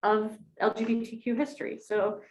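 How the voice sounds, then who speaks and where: A woman rabbi introducing a guest speaker to her congregation in a friendly, halting way with many ums. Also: fairly high pitch, level tone, echoey room, a little hiss or hum, low-quality recording. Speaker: rabbi hosting a class.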